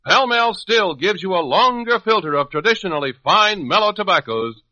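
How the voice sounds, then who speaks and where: A man's voice: high-pitched, expressive, moderate pace, pushed and bright. A man announcing for 1950s radio with an emphatic, polished sales delivery. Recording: dull old radio recording.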